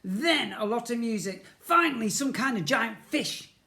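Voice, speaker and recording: A man's voice: very high-pitched, lively intonation, measured pace, pushed and bright, voice pushed up, heavily acted. A man voicing a bossy, bullying older sister in a storybook, bellowing and threatening. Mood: angry, demanding.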